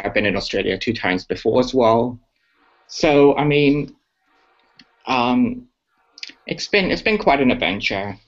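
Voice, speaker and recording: Upbeat, chatty young male guest with a lowish voice; lo-fi web-call sound. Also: fairly bright tone. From Singapore. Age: young adult. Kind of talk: casual interview chat. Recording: muffled lo-fi call audio.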